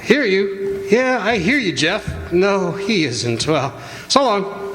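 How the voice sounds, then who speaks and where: Stage radio play in a hall: skeptical reporter male actor, high, lively intonation, slow deliberate pace, businesslike. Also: heavily acted. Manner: questioning, businesslike. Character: brisk, skeptical newspaper crime reporter. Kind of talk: radio drama acting. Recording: reverberant hall, faint background noise.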